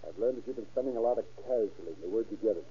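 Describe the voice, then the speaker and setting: A man's voice: on the low side, very fast, slight background noise, lightly acted. An actor playing a skeptical lawman in an old radio crime drama, questioning with dry, needling pressure. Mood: probing, patient. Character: skeptical, probing male lawman questioning a suspect. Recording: tinny vintage radio recording.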